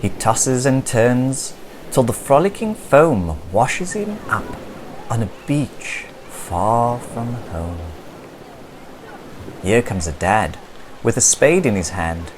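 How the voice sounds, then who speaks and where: A woman narrating a children's picture book aloud in an expressive storytelling style. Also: extremely low pitch, slow pace, slight background noise.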